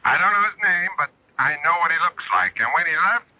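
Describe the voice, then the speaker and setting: An actor playing a shady fence turned eager informant in a radio mystery, talking in a clipped, streetwise way. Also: male voice, a little high, big pitch swings, fast talker, pushed and bright, full character voice. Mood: eager, confiding. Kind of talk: radio mystery drama acting. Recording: noisy AM radio broadcast.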